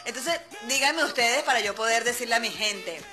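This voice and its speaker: A woman's voice: a little high, lively intonation, moderate pace, over music. A woman with a Venezuelan accent hosting a radio show, chatting casually and playfully, laughing often as she talks to her listeners. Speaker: radio host. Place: radio studio.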